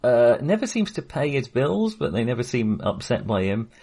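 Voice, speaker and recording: A man co-hosting a podcast, casually discussing films in halting, hesitant phrases. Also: mid-range voice, very animated, dark tone, breathy edge. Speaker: podcast co-host.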